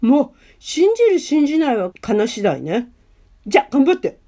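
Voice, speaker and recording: A woman's voice: high voice, very animated, moderate pace, warm tone. A woman playing a nonchalant, teasing teenage schoolgirl who plays cupid in an amateur radio drama. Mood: sly, teasing.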